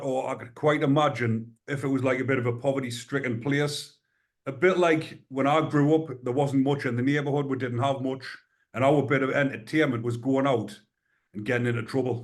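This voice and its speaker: A man hosting a podcast, asking loosely phrased, chatty questions. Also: mid-range voice. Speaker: podcast host. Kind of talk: interview questions and introductions.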